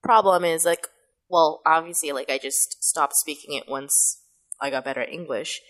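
A woman's voice: low voice, moderate pace, fairly bright tone. Chatty woman with a kid-like voice. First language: Mandarin. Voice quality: youthful, kid-like voice. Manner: chatty, rambling, laughs often. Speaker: podcast host and voice actress. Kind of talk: casual podcast chat.

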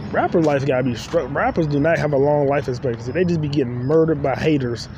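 A man's voice: fairly high pitch, fast talker, lo-fi sound. A young man hosting a podcast, riffing loosely in a rambling, joking solo monologue while walking outdoors. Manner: loose, joking, talks to himself. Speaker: podcast host. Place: outdoors on city streets. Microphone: phone recording while walking. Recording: street traffic noise behind.

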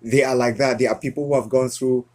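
Earnest Cameroon-born male guest, husky, halting delivery. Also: medium pitch, fairly even intonation, darkish tone. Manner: earnest, halting with frequent restarts. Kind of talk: casual interview conversation.

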